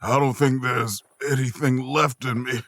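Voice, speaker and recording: A man playing a sick, weary traveler in an audio drama, narrating in a slow, wry, queasy way. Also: mid-range voice, fairly even intonation, a bit bright, a little hiss or hum. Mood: weakly wry. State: queasy, groaning. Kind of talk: first-person narration in audio fiction.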